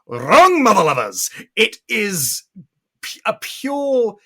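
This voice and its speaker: Animated British man hosting a podcast. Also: high voice, takes it slowly. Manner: animated, theatrical emphasis. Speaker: podcast host. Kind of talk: opinionated podcast commentary.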